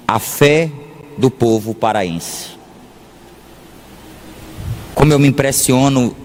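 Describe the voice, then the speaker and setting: Slow-paced Brazilian priest in an echoing hall. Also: male voice, mid-range voice, a little mellow, slight background noise. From Salvador, Bahia, Brazil. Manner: deliberate, pausing often, repeats phrases for emphasis. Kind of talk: sermon. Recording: long echoing reverb of a large hall.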